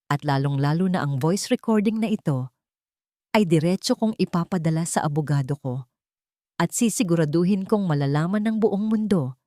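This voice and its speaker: Woman voicing a firm, low-voiced wronged woman. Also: warm tone, no room sound, studio quality, lightly acted. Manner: firm, controlled, deliberate. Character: resolute wronged woman delivering cool ultimatums.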